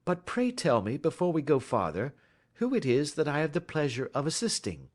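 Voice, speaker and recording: A self-assured gentleman detective, voiced by a male reader, unhurried and genteel, a touch raised in pitch with lively rises and falls. He sounds quietly amused, the speech of an old storybook. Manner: polished, measured, sweetly ironic. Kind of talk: audiobook character voice.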